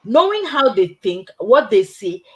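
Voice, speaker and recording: A woman with a husky, slightly breathy voice pitched a little high, hosting a relaxed conversation about careers. She tells stories with animation, laughs often and drives her points home with emphatic tag questions. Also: unhurried. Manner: emphatic, tags points with "right?". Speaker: host. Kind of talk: casual chat.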